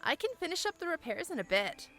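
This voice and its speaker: A woman's voice: sits high, sing-song, fast talker, sharp tone, faint music behind, exaggerated voice, low-quality recording. A woman playing a weary, stubborn airship pilot and mechanic in a fantasy audio drama, plain-spoken and insistent. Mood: matter-of-fact.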